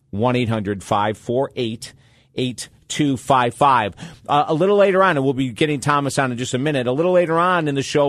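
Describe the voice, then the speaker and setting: A man's voice: medium pitch, no echo, studio quality. A man hosting a radio talk show, talking with upbeat, enthusiastic promo patter.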